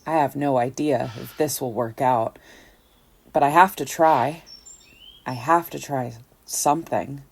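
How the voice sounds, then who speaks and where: An actress playing a guilt-ridden, lonely woman, narrating a horror audio play in a somber, confessional, measured way. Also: a bass voice, creaky, slightly rough, full character voice. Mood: nervous resolve.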